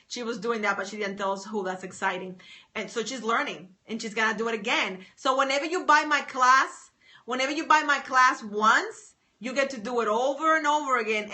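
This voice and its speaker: Bright-voiced enthusiastic woman writing coach. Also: on the high side. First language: English. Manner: enthusiastic, repetitive, reads out viewer names. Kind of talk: live stream chatting with viewers.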